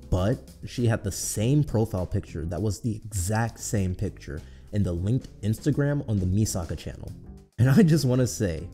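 A man narrates a scripted video essay in an earnest, explanatory way. His voice is low and very dark and mellow, laid over background music. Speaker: co-host of a channel.

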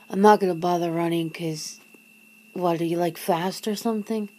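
A woman playing a wary, wry human confronting a vampire lover in an ASMR roleplay, breathy and often slipping into a whisper, hesitant and casual. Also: fairly low pitch, steady tone, slow, a little mellow. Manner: hesitant, sardonic, casual, sighing. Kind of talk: ASMR roleplay, half-whispered one-sided dialogue. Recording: noticeable background noise and ambience.

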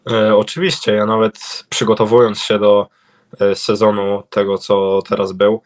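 A man's voice: on the low side, little pitch movement, normal speed. A young man answering interview questions over a video call from a room at home, hesitant with many fillers and restarts. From Poland. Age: young adult. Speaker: interviewed speedway cycling rider. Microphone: video call webcam.

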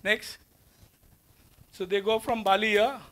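A man's voice: high voice, steady tone, takes it slowly, a bit bright. A man in his fifties with an Indian English accent, giving a conversational lecture to students in a large auditorium, asking them rhetorical questions and mixing in Hindi, recorded on a lapel mic. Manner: walks the stage gesturing while speaking. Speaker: guest lecturer.

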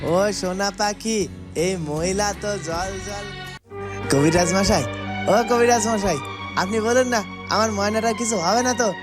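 A man voicing a simple-hearted, lovesick young villager in a cartoon, pleading and excitable. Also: high-pitched, a little slow, loud music behind the voice. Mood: frantic, pleading.

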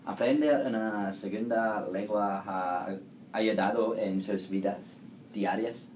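A young man reading set interview questions in a plain, even way, recorded in an echoey room. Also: on the low side, slow pace. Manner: reads set questions, brief thanks. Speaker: student interviewer. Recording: echoey room, faint noise.

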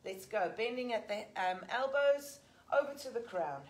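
English lady fitness instructor, bit high voice, calling out reps mid-exercise in a big gym. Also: animated, moderate pace. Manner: upbeat, cueing reps while exercising. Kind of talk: live workout coaching. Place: large indoor gym. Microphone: fixed camera a few metres off.

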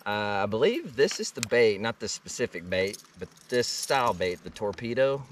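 A man's voice: on the low side, sing-song, moderate pace, noisy background. A man, chatting casually and slangily while fishing from a kayak. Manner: laid-back, slangy. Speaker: kayak angler and vlogger. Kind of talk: casual fishing vlog chat. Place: outdoors in a kayak on a river. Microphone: action camera mounted on the kayak. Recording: outdoor action camera, distant at times.

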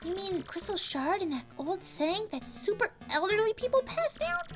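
Woman as a perky, very high-voiced young adventurer. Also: sing-song, normal speed, faint music behind. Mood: eager. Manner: valley-girl slang, emphatic. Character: perky, brainy young female Goomba student, sassy.

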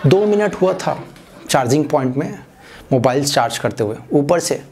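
Gravelly young man doing stand-up. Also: a little high, moderate pace. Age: young adult. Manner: animated storytelling with acted-out passenger voices. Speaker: stand-up comedian. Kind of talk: stand-up comedy set. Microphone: handheld mic. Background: audience laughter.

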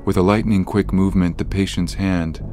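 A man's voice: low-pitched, fairly even intonation, brisk. A man narrating a scripted horror story as the narrator of an audio drama. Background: music.

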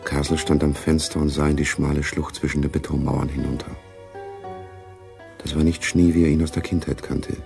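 A male radio play narrator with an extremely low, gravelly voice. He reads scripted prose soberly and very evenly. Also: level tone, over music. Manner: sober, measured literary delivery.

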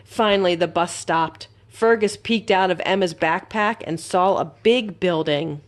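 A woman reading a children's story aloud as a library storyteller, in an expressive, simple storytelling manner. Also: lowish voice, unhurried.

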